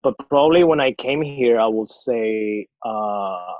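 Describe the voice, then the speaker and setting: Low-voiced young man from Ecuador, easygoing. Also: animated, a little slow, no echo, highs cut off. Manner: easygoing, many fillers. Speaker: podcast co-host. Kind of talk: casual podcast chat.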